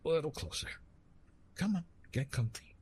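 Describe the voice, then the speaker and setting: A man playing a retired immortal Greek god of love in an intimate ASMR roleplay, speaking to the listener in a soothing, gently teasing, conversational way. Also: on the high side, animated, moderate pace, slightly rough. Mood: caring, soft.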